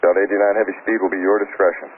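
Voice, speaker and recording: A man speaks as an air traffic controller over a narrow-band radio with faint static. His delivery is very quick and even, made up of clipped procedural instructions. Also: sits low. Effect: aviation radio transmission. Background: faint radio noise.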